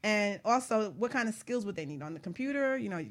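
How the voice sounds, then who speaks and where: A woman's voice: medium pitch, expressive, fairly quick. A woman hosting a casual panel talk show, chatting and giving advice in an informal way. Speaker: radio show host.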